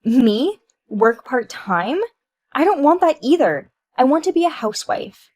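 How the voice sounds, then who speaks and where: A woman plays a shrill, entitled young wife who whines and protests at every turn. Her voice rises high and loud, with a dry close sound and no room around it. It stays indignant and childish. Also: big pitch swings, measured pace, no echo, full character voice. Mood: indignant whining.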